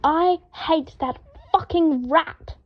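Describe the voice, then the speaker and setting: Woman doing a shrieking, vain young man. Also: takes it slowly. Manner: howling, shrill outbursts. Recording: dull lo-fi home recording.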